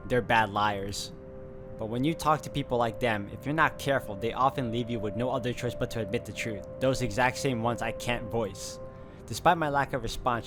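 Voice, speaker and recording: A man reading a visual novel aloud as he plays it. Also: mid-range voice. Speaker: video game player reading aloud.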